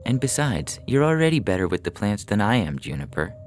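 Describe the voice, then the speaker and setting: A man's voice: fairly low pitch, sing-song, a little fast, pitched above the performer's own voice. A man voicing a devoted mother in a science fiction story, tender and reassuring but firm, hiding her dread. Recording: music behind the voice.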